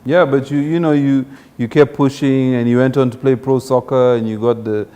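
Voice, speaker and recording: A man's voice: mid-range voice, steady tone, moderate pace, warm tone, clear, resonant tone. A man hosting a conversational talk show interview, informal, asking follow-up questions and dropping in encouraging interjections.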